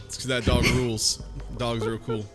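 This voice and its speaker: A man's voice: mid-range voice, flat delivery, normal speed. A man talking casually as a panelist on a podcast about a game. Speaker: book club podcast panelist. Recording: music playing behind the talk. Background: music.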